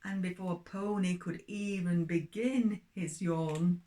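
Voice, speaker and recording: A woman's voice: fairly low pitch, steady tone, slow, dark tone. An older woman telling a children's story expressively, addressing small children.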